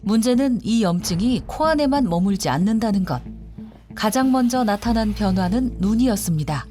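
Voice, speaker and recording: A woman narrates a documentary script in a measured, dramatic cadence, at a middle pitch. Music plays clearly behind her voice throughout. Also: expressive, warm tone.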